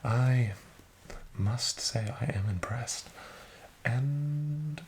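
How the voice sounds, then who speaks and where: A soft-spoken man doing an ASMR roleplay as a friendly, courteous casino dealer, speaking in a formal, measured and encouraging way. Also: mid-range voice, a little hiss or hum. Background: card shuffling and clicks.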